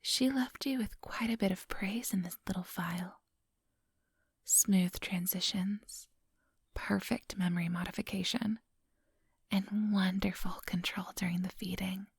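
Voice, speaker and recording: A woman playing a centuries-old vampire boss in an ASMR roleplay, whispery and breathy, speaking close to the microphone in a silky, patronizing, quietly menacing manner. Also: medium pitch, steady tone, no room sound, full character voice. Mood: smooth, appraising. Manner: slow, unhurried, silky and patronizing.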